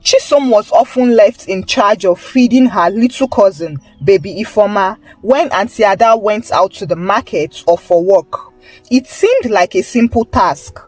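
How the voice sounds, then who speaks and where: A woman's voice: mid-range voice, measured pace, soft background music, low-quality recording. A woman storyteller reading a scripted folktale narration.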